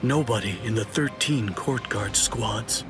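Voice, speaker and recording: A man voicing a calm, scholarly barrier mage in an anime game dub, formal and courteous. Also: fairly low pitch, unhurried, close to an everyday voice. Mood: flat. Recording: music behind the voice.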